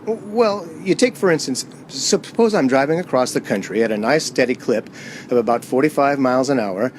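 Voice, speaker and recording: A man's voice: mid-range voice, expressive, normal speed, slight background noise, natural voice. A man playing a frightened, haunted driver in a radio play, agitated and stammering as he pleads and narrates. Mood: uneasy, probing. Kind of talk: radio play acting, dramatic first-person narration.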